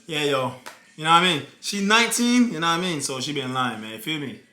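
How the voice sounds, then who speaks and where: A man's voice: fairly high pitch, animated, fast, sharp tone, echoey room. A man in his thirties, a vlog co-host, chatting casually and teasing his partner in a slangy, laid-back way. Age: 30s. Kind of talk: casual couple Q&A chat.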